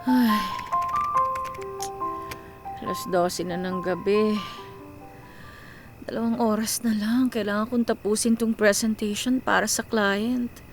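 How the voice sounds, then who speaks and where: A woman's voice: mid-range voice, fairly even intonation, takes it slowly, pauses often, music in the background. A woman playing an anxious, self-sacrificing young breadwinner in a radio drama, with a Filipino accent. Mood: stressed, worried. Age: young adult. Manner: talks to herself, code-switches into English.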